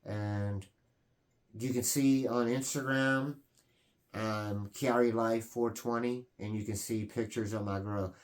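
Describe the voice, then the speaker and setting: A man's voice: fairly low pitch, steady tone, takes it slowly. Earnest rambling man in his forties. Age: 40s. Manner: rambling, many fillers and restarts, earnest. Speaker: channel host. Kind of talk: casual vlog talk.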